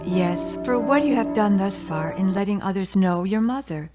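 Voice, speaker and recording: A woman playing a caring, gently meddling birth mother in a radio drama, with a solicitous, motherly manner. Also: medium pitch, lively intonation, normal speed, soft background music, lightly acted. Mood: tender.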